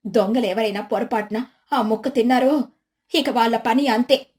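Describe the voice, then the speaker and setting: A woman's voice: a little high, normal speed, darkish tone, lightly acted. A woman storyteller voicing a clever, theatrical village housewife with an exclamatory, dramatic manner. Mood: feigned grief, warning.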